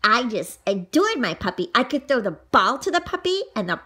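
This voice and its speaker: A woman voicing an excitable, mischievous little girl who tells a children's story, gushing and gasping and stretching out her words. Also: on the high side, brisk, breathy edge, heavily acted. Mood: fond.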